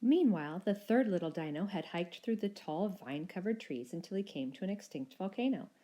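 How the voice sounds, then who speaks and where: A woman with a fairly low voice narrates a children's tale. Her reading is expressive and scripted, as a storyteller for young listeners. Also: brisk.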